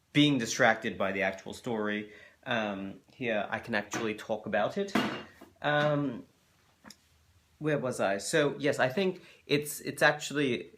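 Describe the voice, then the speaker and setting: A man giving thoughtful, halting commentary as the host of a reaction video. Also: medium pitch, a bit bright, slight background noise. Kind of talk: reflective commentary.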